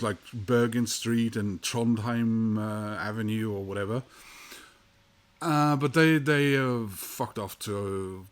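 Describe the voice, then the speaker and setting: A man from Norway with a Norwegian accent, telling a travel story in a rambling, halting way with many fillers. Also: on the low side. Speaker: vinyl collector vlogger. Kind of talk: casual storytelling monologue.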